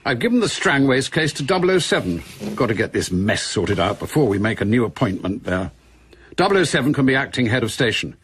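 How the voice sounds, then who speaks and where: An actor playing a gruff, brusque older British spymaster in a radio drama, with a husky voice and clipped, commanding delivery. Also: male voice, mid-range voice, very animated, a little fast, a bit bright, full character voice. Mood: brisk, businesslike.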